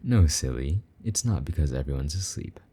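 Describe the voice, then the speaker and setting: A man playing a possessive but tender, lonely demon lover in an intimate romantic audio roleplay, speaking softly and coaxingly in a husky voice. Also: heavily acted. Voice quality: very deep, dark, husky. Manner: soft, slow-burning, gently coaxing endearments.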